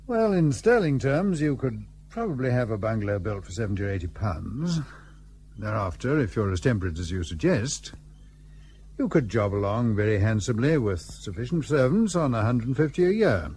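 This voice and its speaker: A man acts an older hotelkeeper from colonial days, polite and full of questions. His voice is mellow and rises and falls freely in an old-fashioned radio play manner. There is a faint hum behind it. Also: medium pitch, big pitch swings, normal speed, lightly acted. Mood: measured. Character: courteous, inquisitive older colonial hotelkeeper, a father. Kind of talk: period radio drama acting.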